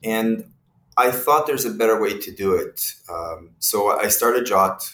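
A man from Israel speaks in a lowish voice, explaining his work in a thoughtful, halting way full of small fillers. He sounds a little distant from the mic. His voice carries a noticeable roomy echo. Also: fairly bright tone. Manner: thoughtful, many fillers and restarts. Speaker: company CEO, guest. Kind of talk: interview answers.

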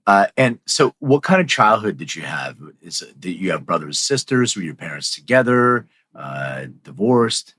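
A man's voice: low voice, big pitch swings, moderate pace, few pauses, a bit bright, no room sound. A man hosting a podcast in a casual, rambling conversational interview style. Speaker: podcast host.

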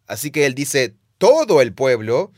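A man's voice: medium pitch, big pitch swings, a little slow, a little mellow, no echo. A man co-hosting a podcast, explaining ancient texts at length and reading quotes aloud. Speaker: podcast co-host, Bible teacher.

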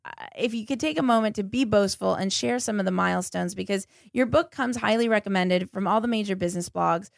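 A woman's voice: mid-range voice, a little fast, gravelly, hardly any pauses, no room sound. A woman hosting a podcast interview, asking questions in an informal, encouraging, conversational way.

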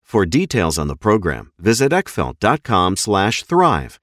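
A man reading a scripted promotional spot in a pitching tone. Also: wildly swooping pitch, measured pace, fairly bright tone, no echo, studio quality. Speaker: ad voice-over.

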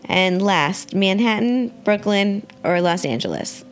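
A woman's voice: mid-range voice, expressive, unhurried. A woman hosting a radio show, chatting casually as she interviews a guest. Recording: clearly noisy background.

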